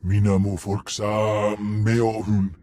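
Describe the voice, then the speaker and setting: A male alien creature in a space opera game. His voice is low. He speaks slowly and deliberately in made-up alien speech. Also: level tone, dark tone. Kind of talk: video game voice acting in invented language.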